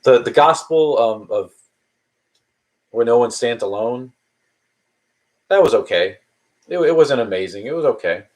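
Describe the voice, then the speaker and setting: A man's voice: medium pitch, lively intonation, measured pace, long pauses, darkish tone. A man in his early thirties chatting casually on a live stream, answering viewer questions in a rambling, hesitant way with many restarts. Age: 30s. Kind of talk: casual chat.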